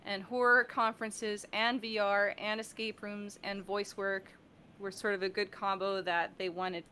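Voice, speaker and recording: A woman's voice: medium pitch, little pitch movement, measured pace, sharp tone, slight background noise. A woman chatting casually as an interview guest, playful and rambling as she talks about her work. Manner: playful, rambling, uses silly coinages. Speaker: guest, voice actor and audio director.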